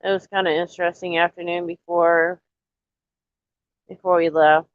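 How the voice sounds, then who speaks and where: A woman chatting casually to viewers on a live stream, a little nasal and stuffy from allergies, rambling in a relaxed way. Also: fairly low pitch, normal speed, no room sound, muffled recording. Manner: rambling, relaxed, talks straight to chat.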